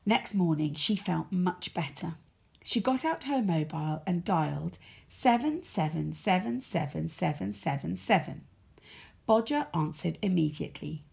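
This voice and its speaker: A woman reading a children's story aloud as a storyteller, expressive, with dramatic emphasis. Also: fairly low pitch, measured pace, vocal fry, husky.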